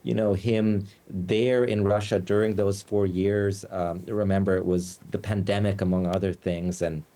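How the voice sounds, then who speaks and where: A man from the United States, a journalist giving news interview answers, speaking haltingly with many fillers and restarts. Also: deep voice, little pitch movement, mellow. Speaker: journalist and Moscow bureau chief, guest.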